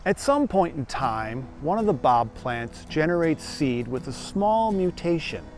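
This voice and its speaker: A man hosting a gardening show, giving steady, scripted, explanatory narration. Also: fairly high pitch, unhurried.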